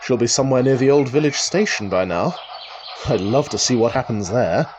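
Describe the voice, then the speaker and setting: An actor playing a chatty, wry country rambler who thinks aloud, musing and exclaiming in old-fashioned idiom, in a radio drama monologue. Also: male voice, medium pitch, lively intonation, a little fast, a little mellow, highs cut off, slight background noise, close to an everyday voice. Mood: relieved, amused. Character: chatty male country rambler talking to himself, wry and excitable.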